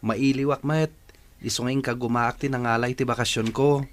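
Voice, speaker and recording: An actor playing a respectful, hardworking young son in an Ilocano radio drama. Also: male voice, mid-range voice, steady tone, moderate pace, natural voice. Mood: earnest.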